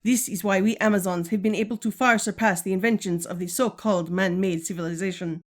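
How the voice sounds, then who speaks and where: A regal Amazon queen, played by a woman, speaks with stately pride. She is formal and measured, like a mother instructing her daughter in a radio serial, at a middling female pitch. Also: steady tone, natural voice. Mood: proud, stately. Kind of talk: 1940s-style radio serial acting.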